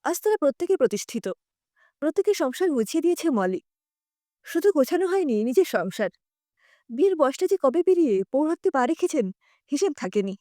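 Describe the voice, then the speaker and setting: A woman narrating a scripted audio story in an expressive, literary manner. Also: high voice, a little fast, long pauses, no echo, clean studio sound.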